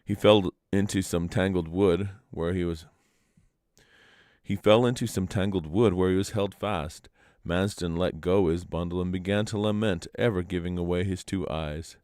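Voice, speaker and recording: Guy reading old legends out loud, lowish voice, pretty dry sound. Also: fairly even intonation, normal speed, no echo. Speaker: storyteller and reader. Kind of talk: live storybook narration of legends.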